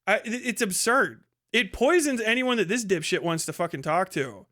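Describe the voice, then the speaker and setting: A man's voice: high voice, sing-song, moderate pace, bright, forceful tone. A man giving casual, profane live commentary in an exasperated, scornful manner with heavy swearing. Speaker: livestream host reacting to a debate.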